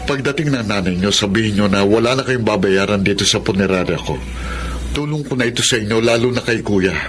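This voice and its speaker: A male actor with a Filipino accent playing a remorseful middle-aged funeral parlour owner in a radio drama, speaking earnestly and haltingly. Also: on the low side, music in the background, lightly acted. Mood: saddened, kind.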